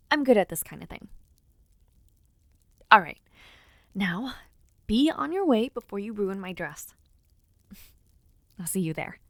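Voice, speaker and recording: A woman playing an affectionate young noblewoman in an intimate audio roleplay, speaking softly and breathily to the listener with hesitant sighs and murmurs. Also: mid-range voice, dry recording. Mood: fond, gently teasing. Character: affectionate young noblewoman, playful but nervous before her debut.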